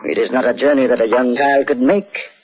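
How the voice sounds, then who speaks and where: A man's voice: medium pitch, fairly even intonation, brisk, lightly acted. An actor playing a grave, stately lord and father with a heavy heart, in an old radio drama. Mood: grave, concerned. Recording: dull vintage radio recording, music behind.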